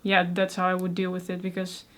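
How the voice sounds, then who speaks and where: A woman's voice: lowish voice, monotone, fairly quick. A young woman from the Netherlands with a Dutch accent, who stutters on first sounds and talks with many fillers as an interview guest. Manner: soft-spoken, many fillers, frequent laughs. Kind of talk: casual interview conversation.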